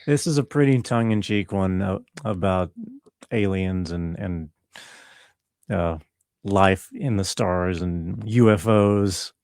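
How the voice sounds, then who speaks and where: Laid back guy being interviewed, lowish voice, takes his time, dry close sound. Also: slow pace, a little mellow, dry recording. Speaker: interview guest, composer and musician. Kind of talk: casual conversational interview talk.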